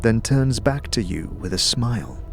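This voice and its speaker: A man narrating a scripted second-person fantasy story as an atmospheric, measured storyteller. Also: fairly low pitch, expressive, faint music behind.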